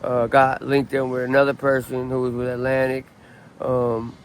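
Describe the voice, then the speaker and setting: A man in his late thirties from Southern California talks outdoors in a casual street interview. His pitch stays level and his delivery wanders with restarts and fillers. Faint city noise sits behind him. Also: normal speed. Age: 30s. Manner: relaxed, rambling, many ums and restarts.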